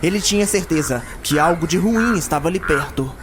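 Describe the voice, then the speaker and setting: A man's voice: on the high side, lively intonation, a little fast, a little hiss or hum. A man narrating a scripted fantasy audio drama as a dramatic storyteller. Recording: loud music behind the narration.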